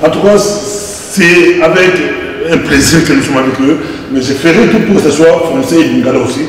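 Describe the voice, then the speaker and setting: A man hosting a live interview, asking quick, friendly questions. His voice sits a little high. It comes from far away, with long echoing reverb and noise behind. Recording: echoey, distant, noisy.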